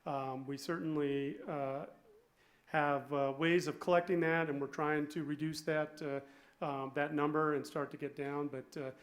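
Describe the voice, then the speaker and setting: Male brewer, fairly high pitch, casual explanatory panel talk with a hesitant, stop-start delivery. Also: flat delivery, unhurried. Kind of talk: informal presentation to an audience.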